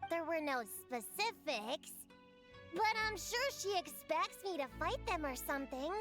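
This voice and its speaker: Very high, emotional little witch-hunter girl. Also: animated, measured pace. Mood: subdued. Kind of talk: anime game voice acting. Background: game music.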